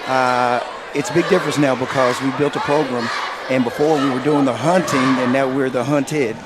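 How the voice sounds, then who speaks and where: A man, a basketball coach whose voice is raspy and hoarse from shouting, talking upbeat and emphatic in a halftime interview. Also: mid-range voice, steady tone, normal speed. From West Tennessee. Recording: noisy gym with crowd ambience.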